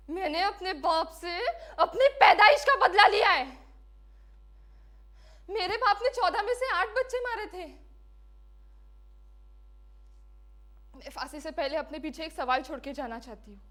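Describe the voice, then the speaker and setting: Woman acting high-voiced condemned prisoner, defiant. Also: lightly acted. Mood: defiant, pleading. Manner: deliberate, impassioned. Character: condemned woman prisoner, defiant, bitter, giving her last testimony. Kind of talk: dramatic film-style monologue.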